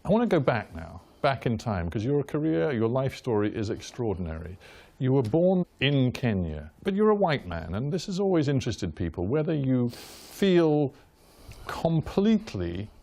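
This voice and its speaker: A man's voice: mid-range voice, big pitch swings, normal speed, dark tone. A man hosting a hard-hitting broadcast interview, asking blunt, probing questions.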